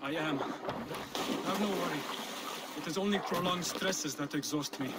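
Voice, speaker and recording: An actor playing an earnest young assassin mentor in a video game, speaking in measured, formal, instructive phrases. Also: male voice, a little high, very noisy, close to an everyday voice. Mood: calm, teaching. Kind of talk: video game cutscene acting.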